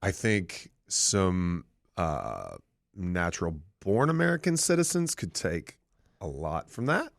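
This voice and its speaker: Radio host, male, middle-aged, low and very expressive delivery, dry studio sound. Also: takes it slowly, vocal fry, dry recording. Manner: animated, lively storytelling with big pitch swings. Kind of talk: casual radio talk. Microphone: studio microphone.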